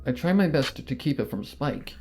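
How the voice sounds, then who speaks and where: A man narrating a fan fiction story in the first person, in a measured, earnest storytelling manner. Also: medium pitch, expressive, a little mellow, soft background music.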